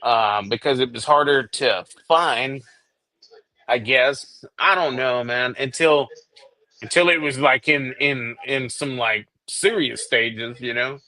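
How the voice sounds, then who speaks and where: A man's voice: medium pitch, takes it slowly, pushed and bright, no echo, poor audio. A man hosting a live stream in casual chat, sympathetic and often stammering and repeating words.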